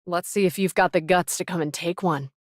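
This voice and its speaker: A woman's voice: lowish voice, fairly even intonation, a little fast, no echo, clean studio sound, lightly acted. A woman voicing a cool, self-assured, tough female fighter in an anime video game, composed and matter-of-fact. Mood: cool, challenging.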